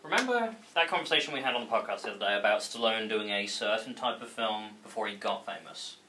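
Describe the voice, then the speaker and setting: A man's voice: fairly low pitch, animated, quick pace, background noise, close to an everyday voice. A man playing a concerned, exasperated cinema worker who reasons with his friend in a hesitant, wry way in a comedy read. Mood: wry, insistent. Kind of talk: comedy script table read.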